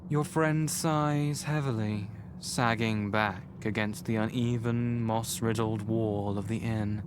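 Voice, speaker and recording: A man performing a grave storybook narrator for a fantasy game, speaking formally in a lowish voice at an unhurried pace. Background ambience and noise run clearly under the voice. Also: fairly even intonation. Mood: solemn, measured. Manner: measured, deliberate, formal. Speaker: narrator of a visual novel. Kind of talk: scripted narration, second person.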